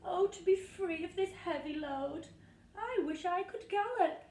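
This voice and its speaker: Storybook rocking horse, male, wistful and rhyming, played by a woman; high, unhurried, roomy sound. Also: expressive, a little mellow, full character voice. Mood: wistful, longing. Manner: sing-song rhyming delivery.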